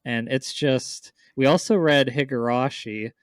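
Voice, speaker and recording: Enthusiastic guy, clean smooth voice. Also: medium pitch, measured pace, no echo, clean studio sound. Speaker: podcast co-host. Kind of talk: casual chat about favorite games.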